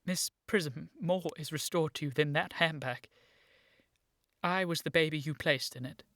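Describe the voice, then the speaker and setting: An earnest young gentleman in a comic radio play. The voice is lowish and closely recorded, formal and urgent, prone to stammer when agitated. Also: unhurried, no room sound, clean studio sound. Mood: grave, emotional. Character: earnest, excitable young gentleman seeking his origins. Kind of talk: radio play acting, period comedy.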